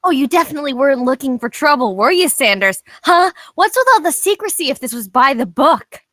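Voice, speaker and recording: A woman's voice: high voice, lively intonation, fairly quick, fairly bright tone, no room sound, clean studio sound. A woman playing a worried, hot-tempered character who confronts police with insistence, in a screenplay table read. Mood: angry, accusing.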